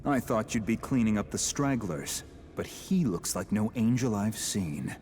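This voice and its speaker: A man playing a cold, grim demon hunter in a video game, menacing and measured in manner. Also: lowish voice, expressive, background noise. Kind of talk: dramatic video game voice acting.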